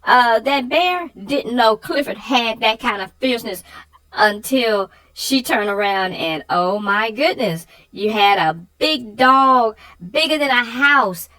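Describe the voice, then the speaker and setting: A woman's voice: on the high side, animated, slow pace, pushed and bright, poor audio. A woman co-hosting a children's story channel, discussing stories in a conversational style.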